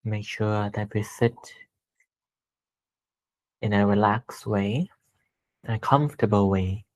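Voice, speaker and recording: A man leading a guided meditation as a teacher, speaking gently and deliberately with pauses between short phrases. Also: fairly low pitch, rough recording.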